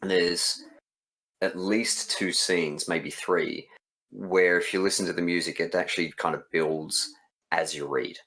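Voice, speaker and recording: A man with an exceptionally deep voice chats casually in an interview. He speaks as a game developer and writer, in an informal conversational way. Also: fairly even intonation, a little slow, a bit bright, poor audio.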